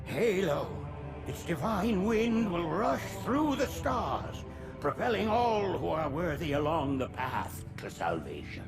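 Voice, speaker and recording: A frail, sanctimonious alien elder preaches grandly in a cinematic game. The high voice swoops widely over clearly audible music. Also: male voice, very animated, takes it slowly, full character voice. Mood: rapturous, pious. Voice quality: high, creaky. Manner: florid, sermon-like, theatrical. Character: frail, sanctimonious old alien prophet, fervently pious. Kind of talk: cinematic science-fiction game acting. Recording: music behind the voice.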